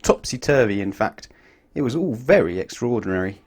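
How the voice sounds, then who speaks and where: A man reading a children's storybook aloud in an expressive way, putting questions to his young listeners. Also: on the low side, moderate pace. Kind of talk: animated children's storybook narration.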